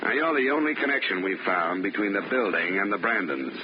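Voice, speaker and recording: A man's voice: fairly low pitch, expressive, fairly quick, close to an everyday voice. A man playing a hard-boiled insurance investigator who narrates and questions people in a 1950s radio drama, with a dry, wry delivery. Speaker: narrator and lead. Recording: muffled old radio broadcast with loud hiss.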